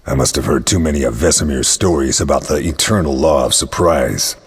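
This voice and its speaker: A man playing a grave, battle-hardened monster hunter in a video game, measured and matter-of-fact, with a raspy, gravelly voice. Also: very deep voice, exaggerated voice. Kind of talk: video game dialogue acting. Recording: long cavernous reverb.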